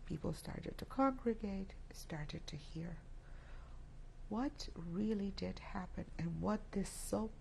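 A Polish-born woman in her sixties, a native Polish speaker, giving reflective oral history testimony in a halting way, with many restarts. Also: mid-range voice, gravelly, a little mellow, a little hiss or hum. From Poland. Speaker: interviewee. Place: indoor studio set with plants.

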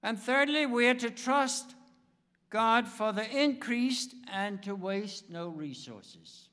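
An American man in his seventies preaching a sermon from a pulpit in a deliberate, emphatic manner with dramatic pauses. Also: very high-pitched, expressive, sharp tone. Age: 70s. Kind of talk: sermon with anecdotes. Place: church sanctuary, speaking from the pulpit. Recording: reverberant church hall, long echo.

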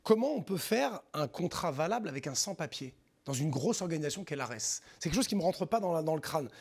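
A middle-aged man, a consultant on a studio panel, chatting in an animated way. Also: fairly high pitch, brisk, breathy edge.

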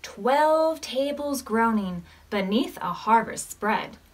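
An American woman in her thirties leading storytime as a children's librarian, reading aloud expressively to preschoolers and putting questions to them. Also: on the high side. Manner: expressive, measured reading with questions to young listeners.

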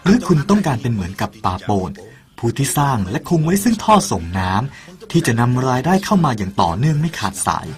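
A man's voice: mid-range voice, very animated, a little fast. A man narrating a parable in an earnest, persuasive way and speaking directly to the listener. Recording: soft music behind the voice.

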